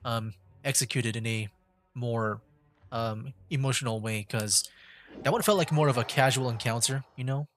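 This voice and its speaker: A man's voice: medium pitch, moderate pace, fairly bright tone. A man giving casual livestream game commentary, mildly meandering as he thinks aloud. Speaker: streamer, VTuber.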